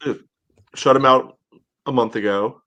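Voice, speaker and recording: A man with an average-pitched voice, chatting as a sports podcast panelist. His delivery is blunt and slangy, in the manner of casual banter. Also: fairly even intonation, normal speed, sharp tone.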